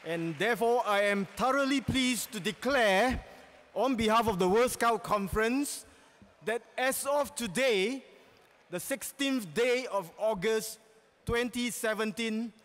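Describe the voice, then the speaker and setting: A man chairing a conference, making a formal, stately ceremonial announcement. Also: extremely high pitch. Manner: stately, deliberate declaring, rising to a loud call at the end. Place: large conference hall. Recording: echoey hall with crowd and faint noise.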